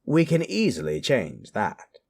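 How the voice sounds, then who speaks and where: A man acts out an obliging, cheerful male undertaker from a macabre storybook. He sounds courteous and breezy. The pitch sits well above the narrator's normal voice, with some huskiness left in it. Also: huge pitch swings, measured pace, gravelly, darkish tone, exaggerated voice. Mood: cheerfully obliging.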